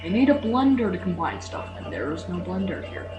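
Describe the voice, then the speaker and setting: A man's voice: sits high, wildly swooping pitch, brisk, highs cut off. A teenage boy chatting casually as he commentates on a game he is playing. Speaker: gamer recording a playthrough. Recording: echoey room with loud background music.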